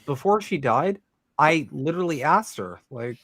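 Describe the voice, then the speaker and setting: Man swapping stories, slightly high, close dry voice. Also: moderate pace, no room sound. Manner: sweary, animated storytelling with acted-out quotes. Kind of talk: casual political panel chat. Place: online group call.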